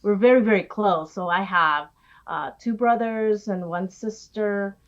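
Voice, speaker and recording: A woman from the Philippines talking as a podcast guest, rambling warmly with many fillers. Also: medium pitch, unhurried, muffled recording. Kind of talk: casual interview.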